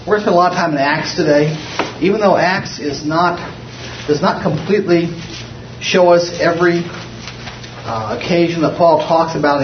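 A somewhat high-voiced man from the United States leads a small Bible study, talking in a loose, rambling way full of restarts. He is recorded from across an echoey room on a muffled, dull mic, with a faint hiss underneath. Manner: rambling, with frequent restarts and fillers. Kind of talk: informal Bible study teaching. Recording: muffled, roomy, distant mic.

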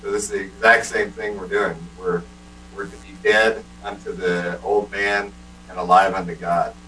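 A man's voice: fairly low pitch, little pitch movement, normal speed, sharp tone, far from the mic, slight background noise, rough recording. A man in a group Bible discussion, explaining firmly and repeating key phrases. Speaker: Bible study participant.

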